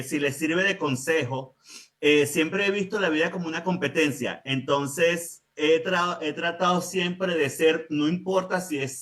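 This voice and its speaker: A man, calmly telling his life story as an interview guest. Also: fairly high pitch, far from the mic. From Venezuela. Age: late 40s. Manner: calm, affirming, often says "así es". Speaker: guest, business owner.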